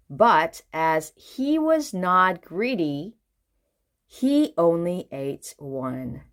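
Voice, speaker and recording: Female children's storyteller, expressive and lively in pitch, unhurried, engaging the listener directly. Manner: expressive, asks the listener questions. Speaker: children's book reader. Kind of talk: animated read-aloud storytelling for children.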